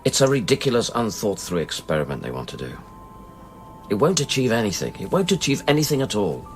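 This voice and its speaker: An actor playing a brooding, haunted man of science in a radio play, speaking with measured intensity. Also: fairly low pitch, wildly swooping pitch, soft background music. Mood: tense, defensive. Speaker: lead actor and first-person narrator. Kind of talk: radio play, intimate literary narration and dialogue.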